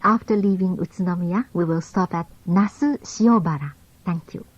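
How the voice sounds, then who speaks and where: A woman's voice: lowish voice, no echo. Dull-sounding taped female train announcer. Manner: formal, measured delivery. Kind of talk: scripted onboard train announcement. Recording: dull lo-fi old cassette tape.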